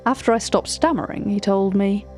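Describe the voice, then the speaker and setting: A woman's voice: mid-range voice, normal speed, a little mellow, faint music behind, natural voice. A woman playing a cultured, wry narrator in an audio drama, with a formal, precise, drily opinionated way of speaking. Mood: hushed unease, wry. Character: cultured, wry art-catalogue narrator recounting uncanny encounters. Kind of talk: scripted narration of an audio fiction.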